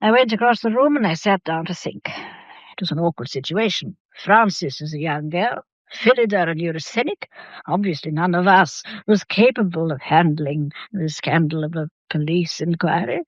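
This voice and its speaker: Imperious old English matriarch, muffled recording. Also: female voice, on the low side, expressive, no room sound. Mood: composed, haughty. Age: older. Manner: haughty, deliberate, formal. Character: imperious, sharp old Victorian matriarch, formidable grandmother. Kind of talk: radio drama acting.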